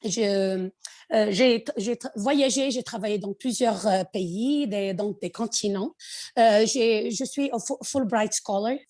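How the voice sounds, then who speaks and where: A woman from Morocco with a Moroccan accent, a podcast guest talking conversationally and haltingly, searching for words and slipping in English. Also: medium pitch, a little mellow. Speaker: podcast guest, finance executive. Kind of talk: conversational interview.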